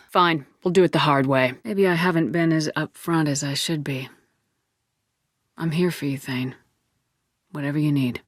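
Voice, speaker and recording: A woman's voice: low-pitched, a bit bright, dry recording, studio quality. A woman acting as a tough, confident space-navy commander in a video game, with a firm, controlled voice and a blunt, curt, assertive delivery. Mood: firm, resolute. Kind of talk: game dialogue, isolated voice lines.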